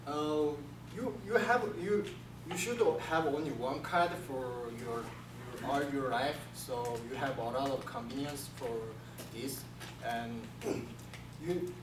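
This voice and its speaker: A young man from South Korea with a Korean accent, giving a class presentation in halting second-language English with many restarts. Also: medium pitch. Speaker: student presenter. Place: classroom with projector screen. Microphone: far camera. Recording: echoey room from far off, room hiss.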